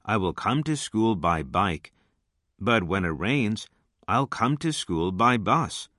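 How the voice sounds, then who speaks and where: A friendly male student played by a man speaks scripted textbook English with careful enunciation. His voice is slightly low and swings expressively in pitch. The recording is very dry. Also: sing-song, takes it slowly, fairly bright tone, no room sound, exaggerated voice. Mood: friendly, polite. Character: friendly male junior high school student. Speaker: listening test voice actor. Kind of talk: scripted English lesson dialogue.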